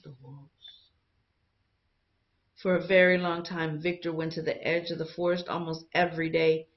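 A woman teacher reading a picture book aloud to young students in an expressive storytelling style. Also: low-pitched, normal speed, lo-fi sound.

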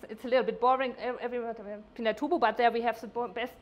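A middle-aged woman giving a scientific conference presentation, with a hesitant, halting delivery and frequent self-corrections. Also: a little high, level tone. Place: indoor workshop meeting room.

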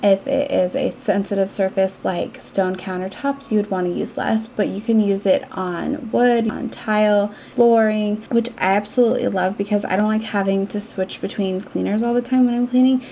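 A woman's voice: mid-range voice, fairly even intonation, normal speed, gravelly, few pauses, dark tone, a little hiss or hum. A young adult woman with an American accent, narrating a cleaning vlog in a casual, conversational, explanatory way. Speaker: cleaning vlogger.

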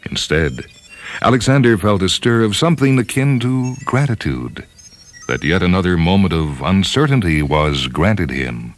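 A man narrating a scripted story in a measured, solemn manner. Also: deep voice, sing-song. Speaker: narrator, reader. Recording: music behind.

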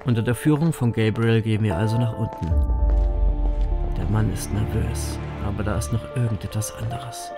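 A man playing a brooding reaper who narrates his horror story in a measured, somber way. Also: on the low side, vocal fry, over loud music. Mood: somber tension. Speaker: first-person narrator. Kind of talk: scripted dramatic narration, German audio drama.

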